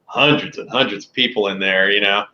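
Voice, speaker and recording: A man in his fifties from California, hosting a podcast in a relaxed, chatty way. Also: on the low side, fairly quick. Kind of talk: casual chat. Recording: dull lo-fi audio in an echoey room.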